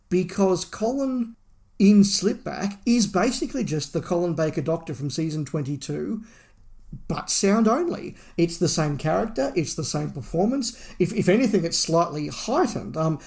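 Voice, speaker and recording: A man's voice: fairly high pitch, vocal fry, a little mellow. A man co-hosting a podcast, giving an opinionated review in casual discussion, often repeating phrases for emphasis. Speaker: podcast co-host reviewing audio drama.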